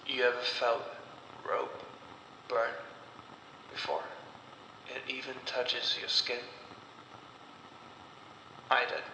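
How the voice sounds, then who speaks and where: A man voicing a bitter, defiant condemned woman in a horror story, speaking gravely with deliberate pauses. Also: medium pitch, sharp tone, heavily acted. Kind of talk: slow dramatic horror storytelling. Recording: echoey, long reverb, background noise.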